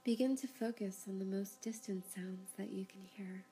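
A woman guiding a yoga nidra relaxation, giving calm, unhurried, soothing instructions with pauses. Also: mid-range voice, slight background noise. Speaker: yoga nidra meditation guide. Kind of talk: guided relaxation meditation, slow instructions.

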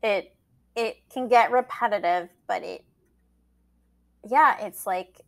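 A woman's voice: mid-range voice, takes it slowly. A woman whose first language is Portuguese, talking casually as an editor and guest in an interview, laughing often and trailing into fillers.

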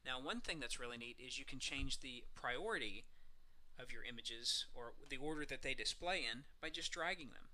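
A man presents a software walkthrough in a very bright, crisp-edged voice at a medium pitch. He explains things casually, one step at a time, as a tutorial presenter would. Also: animated, normal speed, rough recording. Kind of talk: screencast walkthrough narration, semi-scripted.

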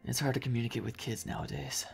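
A man's voice: mid-range voice, steady tone, fast talker, creaky. A man voicing an awkward, well-meaning father with a hesitant manner in a comic fan dub. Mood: puzzled, sheepish. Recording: roomy echo under music.

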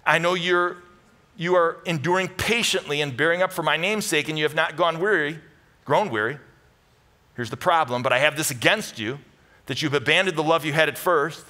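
A man's voice: fairly high pitch, moderate pace, sharp tone. A middle-aged American man preaching to a congregation as pastor, teaching in punchy, conversational short phrases with repeated emphasis. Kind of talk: sermon to a live congregation with Bible teaching. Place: church stage, indoors.

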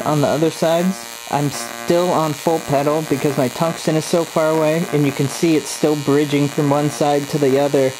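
A man in his thirties calmly narrating welding footage, explaining step by step what he is doing. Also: fairly high pitch. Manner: calm, step-by-step technical explanation. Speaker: welding instructor. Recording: voice-over with loud welding arc hum behind.

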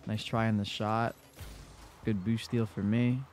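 A man's voice: lowish voice, flat delivery, a little slow, darkish tone. A young man with a congested voice giving casual commentary over his own gameplay in a laid-back play-by-play. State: congested from a cold. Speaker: gaming YouTuber.

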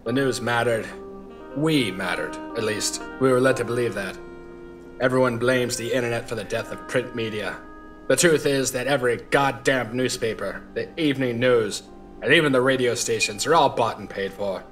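A man's voice: medium pitch, normal speed, a bit bright, close to an everyday voice. Casting: male actor as boozy, bitter veteran reporter, old-time noir patter, audio drama, light music bed. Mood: weary, bitter. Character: drunk, disillusioned hard-boiled veteran newspaper reporter. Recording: faint music underneath.

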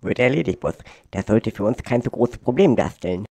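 A man's voice: mid-range voice, expressive, brisk, vocal fry, mellow, no echo. A man playing a cocky cartoon secret agent, boastful and eager to please his boss.

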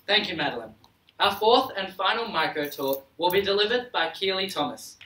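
A man's voice: sits high, animated, normal speed, pushed and bright, a little hiss or hum. A young man hosting an event, giving an upbeat introduction on a large auditorium stage with a handheld mic. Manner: upbeat, announcing. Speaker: event host. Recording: echoey hall from a distance.

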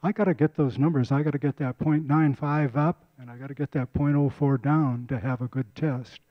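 A man's voice: medium pitch, fairly even intonation, a little fast, no room sound, lo-fi sound. An older man giving a casual community lecture on a handheld mic, often repeating and restarting words. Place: lecture room.

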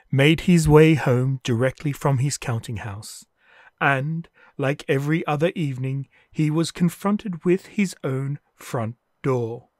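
A man narrating a classic ghost story in a measured, storytelling manner. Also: fairly high pitch, dry recording, clean studio sound. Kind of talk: scripted dramatic narration.

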